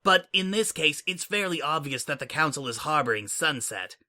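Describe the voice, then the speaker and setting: A man's voice: fairly high pitch, animated, normal speed, bright, forceful tone, voice pushed up. A man voicing a brash, smug female pony spy in a fan audiobook reading, speaking in a flippant, mocking way.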